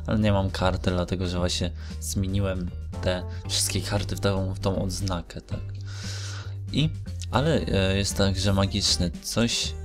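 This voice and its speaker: A man's voice: deep voice, steady tone, unhurried. A young man giving casual, unscripted gaming commentary in a hesitant, rambling way, often correcting himself. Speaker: gaming vlogger. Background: music.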